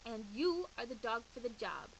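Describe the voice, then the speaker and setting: A woman's voice: on the high side, animated, moderate pace, creaky, a little hiss or hum, low-quality recording. A woman voicing the smallest Chihuahua of a bandit gang, a cheeky male sidekick who talks in slang, in a storybook reading. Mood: matter-of-fact.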